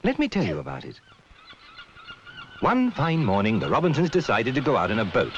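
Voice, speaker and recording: A man's voice: medium pitch, huge pitch swings, a little fast. A British man narrating a 1970s public information film, telling a story in an instructive way. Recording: muffled, noisy 1970s film soundtrack.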